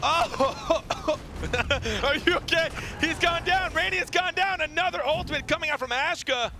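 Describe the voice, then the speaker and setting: A man casting an esports match, excitable and jokey, quick to laugh at himself. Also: background noise. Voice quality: deep voice. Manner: excitable, jokey, self-mocking. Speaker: esports caster. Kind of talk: play-by-play esports commentary.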